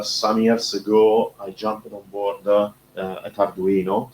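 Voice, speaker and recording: Italian-accented man, low and hesitant. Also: a little mellow. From Italy. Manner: halting, with frequent "uh" pauses. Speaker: panelist. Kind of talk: panel discussion. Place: conference panel room. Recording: echoey room, faint hum.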